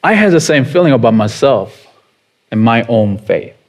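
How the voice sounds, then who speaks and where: A man's voice: mid-range voice, sing-song. A man in his thirties with a Korean accent, preaching a sermon in a chatty way and putting questions to the congregation. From South Korea. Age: 30s. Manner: unhurried and conversational, chuckling often, asking the congregation questions. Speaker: pastor.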